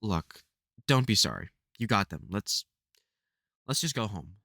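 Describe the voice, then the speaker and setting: Someone acting a gruff protective vampire hunter, sweary, voice swings a lot, really dry sound, audio drama. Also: sits low, animated, measured pace, sharp tone, no room sound, heavily acted. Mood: reassuring. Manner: sweary, urgent, stammering when worried. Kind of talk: audio roleplay drama spoken to the listener.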